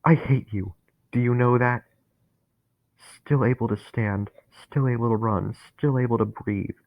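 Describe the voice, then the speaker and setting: A man voicing a dying young man who is terrified and bitter, talking in a strained, pleading way that rises to anguished shouts. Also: lowish voice, steady tone, slow, gravelly, no echo, heavily acted. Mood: bitter rage. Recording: tinny phone-like, dry sound.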